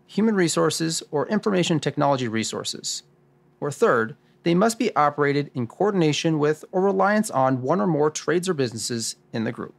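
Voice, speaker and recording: A man's voice: fairly high pitch, gravelly. A man playing an assured, orderly expert sleuth in a scripted radio drama, explaining in a didactic way. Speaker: lead expert guiding the discussion.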